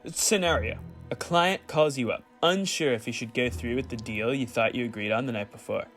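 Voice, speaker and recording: An actor playing an eerily welcoming, unctuous corporate job interviewer in a radio play. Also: male voice, medium pitch, normal speed, fairly bright tone, soft background music, close to an everyday voice. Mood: satisfied, sinister.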